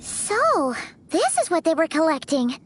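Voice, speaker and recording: A woman voicing a small, chatty, easily spooked fairy-like girl companion in a video game, with a childlike squeaky voice. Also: huge pitch swings, a little slow, very noisy, heavily acted. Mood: curious, uneasy. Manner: refers to self in third person, exclamatory. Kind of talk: animated video game dialogue. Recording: music behind the voice.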